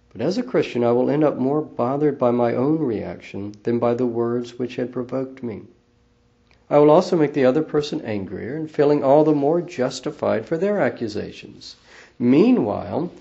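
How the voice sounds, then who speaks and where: A man who serves as executive minister of a church, giving a calm, measured devotional talk in a quiet voice. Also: mid-range voice, vocal fry. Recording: slightly dull lo-fi recording.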